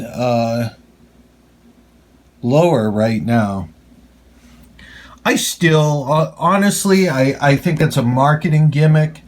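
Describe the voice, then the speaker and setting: A man giving a casual hands-on gadget demonstration as a hobbyist reviewer, halting and pausing mid-phrase, with a skeptical, opinionated bent. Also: a little high, a little mellow.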